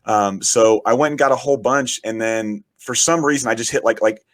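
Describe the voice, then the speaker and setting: A man, a podcast guest who is also a podcast host, talking in rambling, enthusiastic casual chat, his voice raspy from shouting. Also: mid-range voice, fairly quick, dry recording. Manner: rambling, enthusiastic, frequent swearing.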